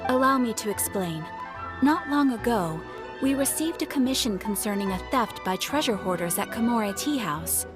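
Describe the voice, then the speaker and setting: A composed guild receptionist in a video game, voiced by an actress, speaks in a fairly high female voice. She is formal and courteous, laying things out carefully over clearly audible music. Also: animated, moderate pace, close to an everyday voice.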